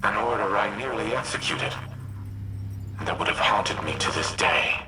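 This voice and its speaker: An actor playing a grave, remorseful military artificial intelligence in a video game, speaking in a solemn, measured, deliberate way. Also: male voice, fairly low pitch, very noisy, close to an everyday voice. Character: remorseful military AI war machine, grave and noble. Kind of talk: video game character dialogue.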